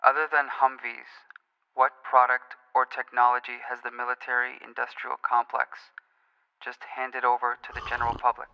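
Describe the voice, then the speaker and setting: A man playing an earnest, pedantic conspiracy-theorist caller in a radio play, speaking formally and deliberately, in a muffled, reverberant recording. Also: medium pitch, completely flat delivery, vocal fry, clean tone, lightly acted. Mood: solemn, precise. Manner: deliberate, formal, precise, solemn.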